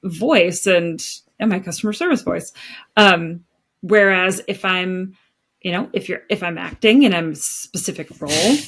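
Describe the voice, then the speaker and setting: A woman from the United States co-hosting a podcast in casual chat, talking in a chatty, animated way with quick asides. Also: on the low side. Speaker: podcast co-host.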